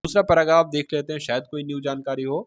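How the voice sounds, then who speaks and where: A man's voice: on the high side, flat delivery, quick pace. A man reading out and explaining official notices for students in a didactic way, paraphrasing as he goes and checking in with listeners. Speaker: exam-prep instructor and channel host.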